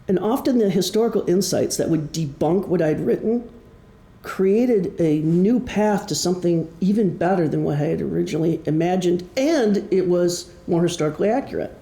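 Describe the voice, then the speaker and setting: A man's voice: sits high, mellow. A middle-aged man giving an informal talk as an author, telling stories in a wry, self-deprecating way. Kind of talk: informal prepared talk to an audience. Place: indoor room, live presentation. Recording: echoey room.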